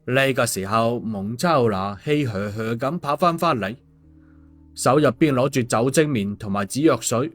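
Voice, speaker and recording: Guy reading an audiobook story, mid pitched voice, bit of music behind. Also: normal speed, a bit bright. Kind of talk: scripted story narration, acting out dialogue. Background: background music.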